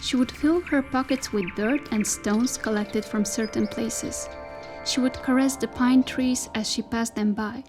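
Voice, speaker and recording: A woman performing as the storyteller of a dystopian folk tale, reading scripted literary narration in a measured, descriptive way. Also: fairly high pitch, fairly even intonation, over music, close to an everyday voice.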